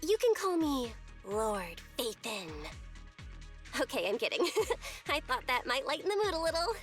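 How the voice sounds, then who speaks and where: A woman's voice: high voice, very animated, measured pace, soft background music. A woman playing a cheeky, teasing young underworld guide in a game, joking and informal. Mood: teasing, playful. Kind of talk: dramatic game dialogue.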